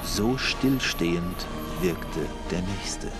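A man's voice: low voice, expressive, extremely slow, loud music behind the voice, heavily acted. A man acting as the solemn narrator of a German sci-fi audio drama, reading dramatic scripted narration. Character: male storyteller narrating a space adventure, solemn, dramatic.

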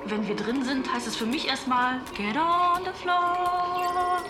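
A woman's voice: very high voice, level tone, slow, reverberant, music in the background. A woman playing a cheeky, laid-back crew member in a German sci-fi audio drama, teasing and wry. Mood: playful.

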